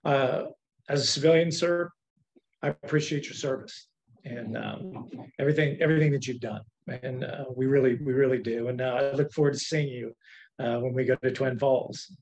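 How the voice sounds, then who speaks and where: A man's voice: medium pitch, normal speed, darkish tone, low-quality recording. Polite man moderating a video call. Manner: polite, deferential, says sir often. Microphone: webcam mic on video call.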